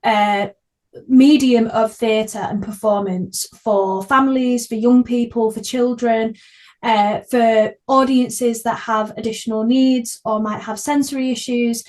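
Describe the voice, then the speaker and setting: A woman's voice: a little high, steady tone, measured pace, a little mellow. A woman hosting a podcast, chatting casually in an earnest, effusive way. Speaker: podcast host, actor. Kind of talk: casual interview chat.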